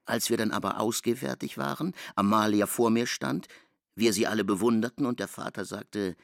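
A man narrating a literary German radio drama in a gravelly voice, voicing the dialogue as he reads. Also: lowish voice, moderate pace, no echo, clean studio sound. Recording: prominent music under the voice. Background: music.

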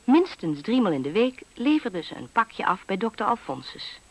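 An actress playing the storyteller of a whimsical fairy-tale radio play, in scripted narration. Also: female voice, medium pitch, sing-song, normal speed, a little mellow, poor audio. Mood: storytelling.

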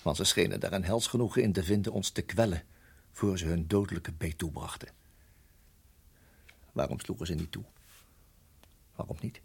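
A low, very husky husband in a radio play, caught in mortal danger. He speaks haltingly and urgently, often hushed, keeping himself tightly controlled. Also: heavily acted. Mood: haunted, dramatic narration. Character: tense husband guiding wife through deadly snake danger. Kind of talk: radio drama acting and narration.

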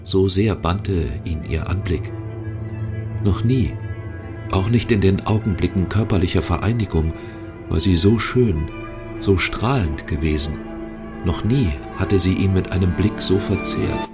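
A man giving grave, measured narration in a literary radio drama. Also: low-pitched, music in the background.

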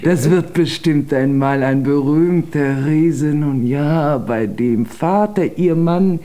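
An actress playing a gushing, nosy giant neighbour in a children's radio drama, effusive and full of cooing. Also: female voice, low-pitched, takes it slowly, mellow. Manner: effusive, cooing baby talk.

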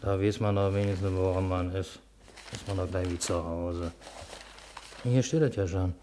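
An actor playing a conservative grumbler in a German radio play, reading deliberately and muttering to himself. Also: male voice, deep voice, fairly even intonation, noisy background, lightly acted. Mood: grumpy muttering. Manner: slow, deliberate reading with muttered grumpy asides.

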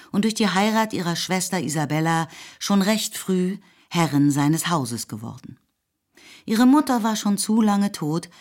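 Low-voiced woman narrating a literary radio play. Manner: measured, expressive storytelling. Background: faint music.

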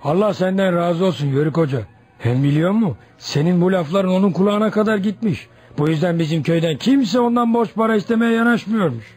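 A man's voice: high voice, a little fast, darkish tone, slightly rough, faint music behind. An actor in a Turkish radio play as an indignant, gossiping village headman, exclaiming and confiding respectfully. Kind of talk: Turkish radio theatre acting.

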